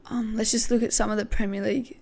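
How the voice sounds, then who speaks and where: A woman hosting a casual football podcast, her voice croaky, thinking aloud with many hesitations. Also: a little high, level tone, fast talker, mellow. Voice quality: gravelly. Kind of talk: casual pre-match football talk.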